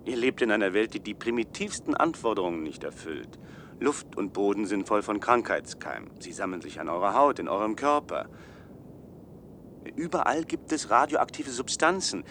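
A man's voice: on the low side, lively intonation, moderate pace, fairly bright tone, a little hiss or hum. An actor playing a naive, condescending city man in a German science-fiction radio play, with a lecturing manner. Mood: patronizing. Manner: lecturing, self-assured.

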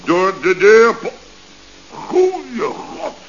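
An actor playing a bossy, easily panicked contractor boss in an exasperated, sputtering 1970s Dutch radio comedy style, with a Netherlands accent. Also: male voice, sits high, sing-song, extremely slow, heavily acted. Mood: exasperated, commanding. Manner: exasperated, commanding, exclamatory, sputtering. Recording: dull, hissy old radio recording.

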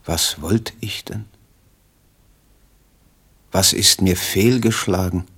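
A male actor in a German radio play voicing a withdrawn, melancholy mad poet, speaking in halting, lyrical reverie. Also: deep voice, vocal fry, fairly bright tone, husky, heavily acted. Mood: melancholy, lost.

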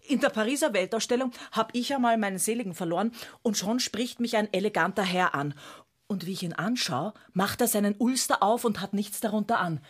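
An actress playing an older widow in a radio play, nostalgic, gossipy and rambling. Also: female voice, mid-range voice, close to an everyday voice. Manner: rambling, gushing.

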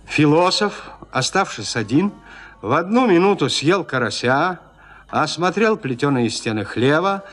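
A man narrating classic literature as an audio drama, with measured, expressive storytelling. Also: mid-range voice.

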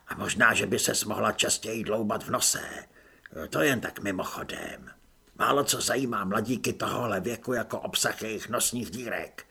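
Hoarse, low, patient unseen man in an audiobook, dry and raspy, as a male narrator puts him on. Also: pushed and bright, exaggerated voice. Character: hoarse mysterious man hiding in the dark.

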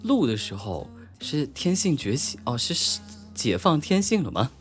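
Male host, lively swooping pitch, teasing, excitable, repeats himself, casual chat, background music. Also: normal speed. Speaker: host of a radio drama fan talk. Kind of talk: casual chat with scripted questions. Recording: music behind the voice.

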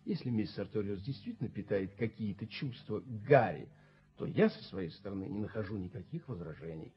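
An actor playing a prim, easily offended snob in a radio play, pompous and moralizing in an affected way. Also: male voice, fairly low pitch, very animated, brisk, husky, full character voice. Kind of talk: Soviet radio play acting. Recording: muffled 1970s radio drama recording.

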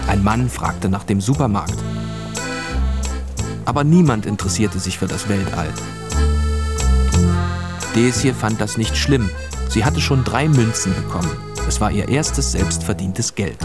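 A man's voice: fairly low pitch, expressive, a little slow, pauses often. A man narrating a scripted children's story as the narrator of a radio play. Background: music.

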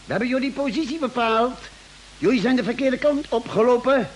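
A man's voice: very high voice, a little fast, a little hiss or hum. An actor playing an anxious, authoritative male ship's doctor in an old space radio drama, grave and urgent in manner. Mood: alarmed, urgent. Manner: grave explanations, urgent calls over the intercom.

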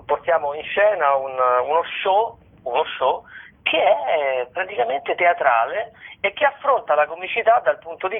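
A man talking informally as an interview guest, a stage comedian explaining his work in a halting, rambling way with repeated words and tag questions. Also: on the high side. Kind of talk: informal radio interview talk. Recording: band-limited radio or telephone-like sound.

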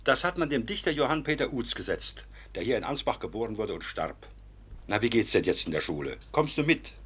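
A fatherly, husky old judge. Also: mid-range voice, very animated, fairly quick. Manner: warm, reassuring, patient. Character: kindly, fatherly older nobleman and judge. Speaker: legal official and guardian. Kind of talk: radio drama acting.

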